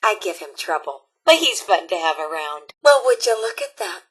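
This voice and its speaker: A woman voicing a cheery, motherly elderly robot scout leader in a video game, with a folksy American Upper Midwest accent and a husky edge. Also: fairly low pitch, big pitch swings, normal speed. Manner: folksy, chirpy, sing-song, fussing over campers. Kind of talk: video game character acting.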